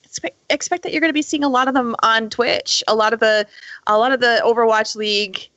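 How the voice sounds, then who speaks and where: A woman's voice: on the high side, fairly even intonation, brisk, a bit bright, no room sound, lo-fi sound. A woman co-hosting a podcast, chatting casually about esports in an upbeat, enthusiastic way. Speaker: podcast co-host.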